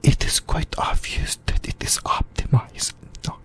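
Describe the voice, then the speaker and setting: A speaker whispers throughout in a breathy, unvoiced ASMR style. The delivery is informal fan talk from a YouTube host, with mild swearing dropped in. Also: unhurried, a bit bright. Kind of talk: ASMR whispered anime discussion.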